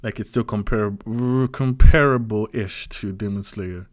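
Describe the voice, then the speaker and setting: A man's voice: fairly low pitch, a little slow, no echo. A man with a Nigerian accent giving casual solo commentary as a vlogger, chatty and loose, checking in with the viewer as he goes. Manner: chatty, loose, peppers talk with "like" and "right?".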